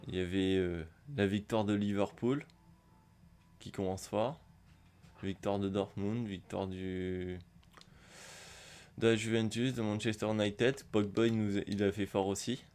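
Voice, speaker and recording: A man's voice: on the low side, steady tone, unhurried, long pauses, darkish tone, clear, resonant tone. A man with a cold chatting casually while opening packs in a football video game, rambling with many hesitations. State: has a cold, hoarse. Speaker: gaming youtuber. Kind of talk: casual pack-opening commentary.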